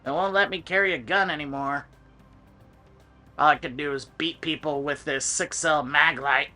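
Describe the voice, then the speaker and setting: A man's voice: on the high side, normal speed, sharp tone, faint music behind. A man voicing a lonely, crude, self-pitying old security guard in a video game. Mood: grumbling, self-pitying.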